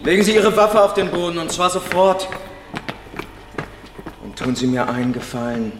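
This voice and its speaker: An actor playing a stern, commanding Soviet officer in a radio crime drama, giving curt, authoritative orders. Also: male voice, high-pitched, animated, normal speed, roomy sound, big-room sound, noisy background.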